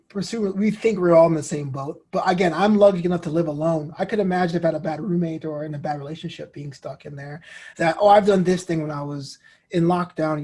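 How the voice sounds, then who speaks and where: A man's voice: sits high, darkish tone, breathy edge. A man, talking casually as an interview guest and acting out quoted remarks as he tells stories. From New York City, United States. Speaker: guest, comedian and show host.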